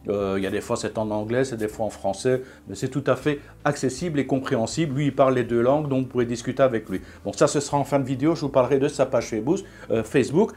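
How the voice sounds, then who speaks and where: A man's voice: mid-range voice, fast, hardly any pauses, a little mellow, slightly rough. A man, talking casually to camera in a vlog, hesitant, with many fillers and restarts. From Belgium. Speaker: vlogger.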